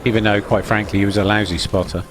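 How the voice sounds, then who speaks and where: A man's voice: on the low side, level tone, fast talker, over music. A man giving live, chatty commentary while playing an online naval game, wry and self-deprecating as he thinks aloud. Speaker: gaming video host.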